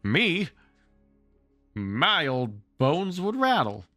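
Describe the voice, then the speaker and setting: A man's voice: on the high side, sing-song, drawn-out words, bright, forceful tone, dry recording, soft background music, voice pushed up, exaggerated voice. A man voicing a good-humored, cocky storybook boy who plays an indulgent old father in a mock-grand way. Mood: mock-scandalized.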